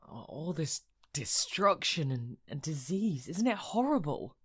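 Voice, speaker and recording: Woman performing an idealistic radio play conservationist, earnest, emotive, bright-edged tone, slow delivery. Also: deep voice, sing-song, slightly rough, full character voice. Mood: indignant. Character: Alex, earnest, idealistic conservationist, friendly but distressed by destruction.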